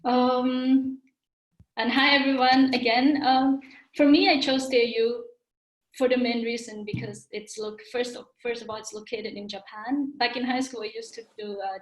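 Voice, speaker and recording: Brief: female alumna panelist, relaxed chatty delivery, reverberant room. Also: on the high side, normal speed. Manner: friendly, loose, many fillers. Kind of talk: webinar panel talk. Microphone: video call.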